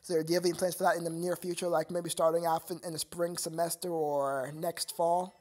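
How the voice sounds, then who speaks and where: A man's voice: on the high side, little pitch movement, fairly quick. A young man chatting casually with viewers on his live stream, rambling and stammering with frequent laughter.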